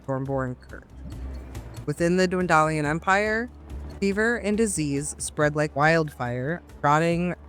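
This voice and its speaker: A man running a tabletop game as game master, narrating the setting in a dramatic, measured way. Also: on the high side, a bit bright.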